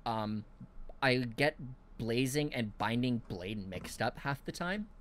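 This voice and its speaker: Male podcast host, animated intonation, informal and jokey, light noise on the line. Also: mid-range voice, takes it slowly. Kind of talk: casual chat, joking with friends.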